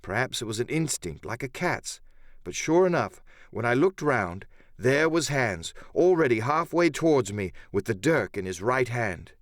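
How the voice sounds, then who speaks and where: A man's voice: medium pitch, no echo, studio quality. A man giving a dramatic first-person narration of an adventure story as an audiobook narrator.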